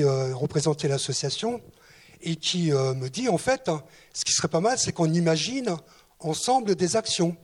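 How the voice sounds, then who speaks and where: Guy with a slightly high husky voice, lots of euh's, telling how a project started. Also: a little mellow. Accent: not southwestern French. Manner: hesitant, many fillers. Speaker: former municipal youth director. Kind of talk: recollections before an audience.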